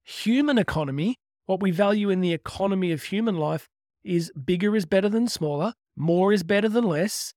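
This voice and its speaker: High-pitched man giving an earnest motivational talk. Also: a little fast, a little mellow, no echo, clean studio sound. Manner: earnest, emphatic, with rhetorical questions. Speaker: motivational speaker. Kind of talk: motivational talk with religious teaching.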